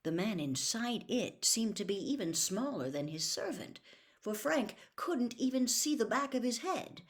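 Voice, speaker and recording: Slow-paced woman reading a suspenseful story. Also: fairly high pitch. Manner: expressive, suspenseful, with hushed breathy emphasis. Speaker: storyteller reading a novel aloud. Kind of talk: dramatic storybook narration.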